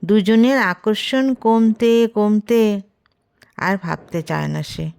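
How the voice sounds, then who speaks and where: A woman's voice: mid-range voice, slow pace, vocal fry, darkish tone, clear, resonant tone. A woman reading a scripted romantic story aloud as a storyteller. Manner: reads character dialogue within the narration.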